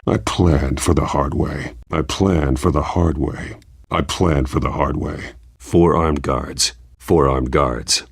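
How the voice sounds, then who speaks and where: A man voicing a grim, driven vigilante in a video game, terse and commanding, with a gravelly, husky voice. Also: rumbling low, fairly even intonation, slow, no room sound, heavily acted. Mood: tactical, controlled. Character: grim, driven masked male vigilante and wealthy crusader.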